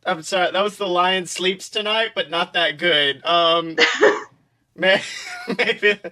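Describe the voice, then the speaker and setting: A man co-hosting a podcast with casual comedic banter in a playful, excitable, giggly manner. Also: high-pitched, slow pace, clean tone. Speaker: podcast co-host and author.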